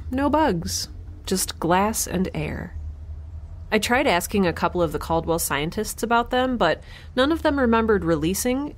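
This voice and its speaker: Wry, low-voiced woman in a sci-fi audio drama. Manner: wry, sarcastic, chatty. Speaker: solo audio drama narrator and protagonist. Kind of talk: scripted audio-drama monologue.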